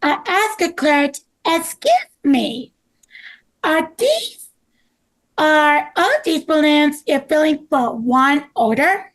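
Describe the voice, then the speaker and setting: Woman as an eager high-pitched cartoon rescue pup. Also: big pitch swings, slow, full character voice, poor audio. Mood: earnest, eager. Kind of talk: table read of a children's story script.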